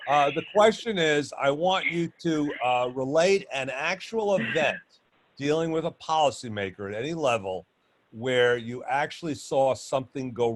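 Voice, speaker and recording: Loud, rambling male panel moderator. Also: mid-range voice. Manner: loud, chatty, rambling with restarts. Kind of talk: panel discussion on video call. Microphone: video call.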